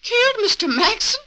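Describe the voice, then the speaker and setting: Flustered scheming mom, high bright voice, pleading act. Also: female voice, exaggerated voice, rough recording. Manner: feigning innocence, pleading and flustered. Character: scheming middle-aged mother, gangster's accomplice. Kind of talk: 1940s radio acting.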